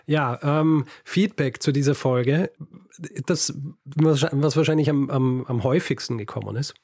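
A man's voice: medium pitch, measured pace, darkish tone, no echo. A man with a Viennese German accent chats on a podcast and retells history, stumbling through fillers and restarts with small laughs. His voice sits in a middle register. The sound is dry and close. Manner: hesitant, many fillers and restarts, laughs often. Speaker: podcast co-host. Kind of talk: casual podcast chat, storytelling.

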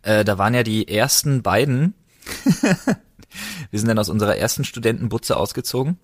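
A man with a lower voice, recorded dry and close, with no room sound. He co-hosts a casual podcast in a relaxed, dry-humored way. He is from Berlin. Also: normal speed, slightly rough, no echo. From Berlin, Germany. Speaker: podcast co-host. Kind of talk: casual chat.

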